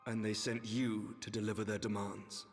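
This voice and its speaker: A man's voice: on the low side, flat delivery, normal speed, faint music behind. A man playing a grave, noble knight commander in a video game, speaking with formal authority.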